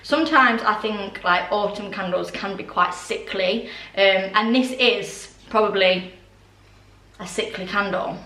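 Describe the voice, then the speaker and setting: A young woman with a voice going hoarse, chatting casually through a home haul with lots of ums and asides. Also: medium pitch, unhurried, sharp tone, reverberant, recorded from a distance, poor audio. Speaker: vlogger. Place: orangery at home, indoors.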